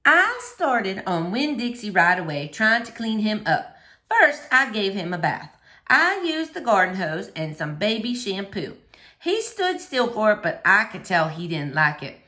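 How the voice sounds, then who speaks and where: A woman reading a storybook aloud to children with expressive narration. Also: medium pitch, measured pace. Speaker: read-aloud host for children.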